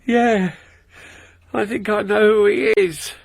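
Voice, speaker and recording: Brief: an anxious householder for a radio play, with a gravelly voice and a very dry sound. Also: male voice, high voice, steady tone, slow pace, dry recording, exaggerated voice. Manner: hesitant, stammering. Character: nervous, anxious male householder. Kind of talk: radio drama acting.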